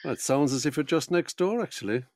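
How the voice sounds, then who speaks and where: A higher-pitched male radio presenter, recorded dry and close in a studio. He asks his guests chatty questions with a light, joking touch. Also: lively intonation, fast, a little mellow, dry recording. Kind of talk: radio interview.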